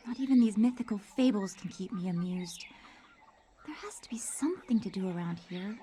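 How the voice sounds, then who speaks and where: A woman's voice: medium pitch, animated, moderate pace, a little hiss or hum, close to an everyday voice. An actress playing a restless, bored young woman in a video game.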